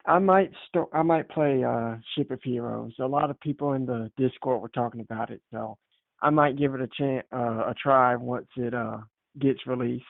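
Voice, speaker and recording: A man's voice: mid-range voice, gravelly, no room sound. Hesitant male caller on muffled phone line. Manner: hesitant, halting. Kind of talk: casual chat.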